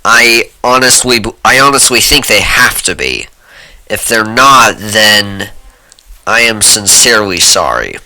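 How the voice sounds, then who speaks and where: A man hosting an informal commentary video, speaking haltingly and reading some material aloud. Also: on the low side, very animated, sharp tone, no echo, rough recording. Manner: halting, amused, often breaking into laughter while reading. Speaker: host.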